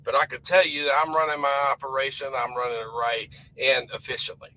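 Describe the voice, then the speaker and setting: A man who drives his own truck, talking casually about fuel discounts, his voice hoarse and raspy from a cold, rambling in a folksy, emphatic way. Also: medium pitch, flat delivery, brisk, bright, forceful tone, slight background noise, rough recording. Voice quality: rough and raspy. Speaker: owner-operator truck driver. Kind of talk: casual vlog talk to camera.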